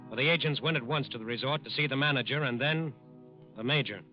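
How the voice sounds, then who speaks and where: A man's voice: medium pitch, fast. Dramatic old-time radio narrator, man. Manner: emphatic, dramatic repetition. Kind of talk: 1940s radio crime-drama narration, scripted. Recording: dull old radio recording. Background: music.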